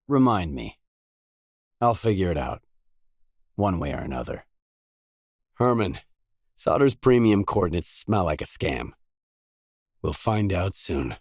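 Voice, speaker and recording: An actor playing a guarded, dry, tough stalker mercenary in a video game, with terse, blunt delivery. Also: male voice, low-pitched, dry recording, exaggerated voice. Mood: guarded, low-key. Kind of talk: video game voice acting, isolated dialogue lines.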